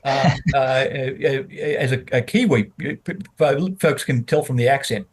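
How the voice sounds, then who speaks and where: A man's voice: medium pitch, measured pace, breathy edge. A man interviewing a company CEO, asking long questions and sometimes cutting in. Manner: lively, talks over the guest.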